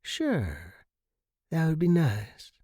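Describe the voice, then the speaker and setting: A man's voice: a little high, wildly swooping pitch, very slow, dark tone, slightly rough, no room sound, clean studio sound, voice pushed up, full character voice. A man voicing a cold, menacing woman pilot with a condescending manner in a sci-fi audiobook. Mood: cool agreement.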